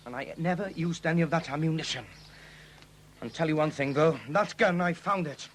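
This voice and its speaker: An actor playing a hot-tempered, stubborn farmer and nationalist militant in a 1970s radio drama. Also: male voice, on the high side, brisk, lightly acted. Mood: stubborn protest. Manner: blustering, stubborn, emphatic. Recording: hissy 1970s radio broadcast recording.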